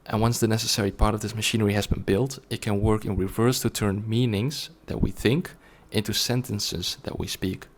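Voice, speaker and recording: Low-voiced man explaining in earnest. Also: fairly even intonation, fairly quick, few pauses. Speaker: language learning video presenter. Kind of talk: explanatory monologue to viewers.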